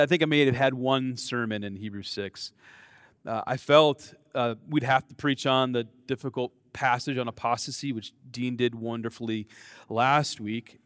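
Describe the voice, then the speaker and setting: An American man preaches a sermon in a loose, conversational way, joking at his own expense and stumbling over restarts and fillers. His voice sits at an ordinary middle pitch. The sound is dry and close, with no room echo. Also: fairly bright tone. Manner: conversational, self-deprecating, frequent fillers and restarts. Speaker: pastor.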